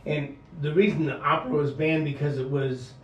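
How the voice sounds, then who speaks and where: A man hosting a talk radio interview, halting and full of fillers and restarts as he asks questions. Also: on the high side, level tone, reverberant, distant mic, slight background noise, rough recording. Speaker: radio talk show host.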